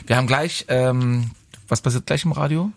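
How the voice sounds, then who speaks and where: A man's voice: mid-range voice, moderate pace, vocal fry. A man in his forties presenting a live radio morning show in a chatty, playful, teasing way. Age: 40s. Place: radio studio. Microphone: close studio microphone.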